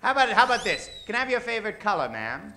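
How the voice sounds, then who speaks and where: A man's voice: high-pitched, big pitch swings, very fast, sharp tone. A man hosting a live comedy radio show from a theater stage, bantering with the audience and drawing out names in a theatrical announcer style.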